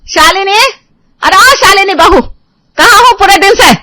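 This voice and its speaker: A woman's voice: extremely high pitch, a little slow, bright, forceful tone, full character voice. A woman playing an accusing, domineering middle-aged mother-in-law in a melodramatic Hindi family drama, scolding with bitter sarcasm. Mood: accusing, angry.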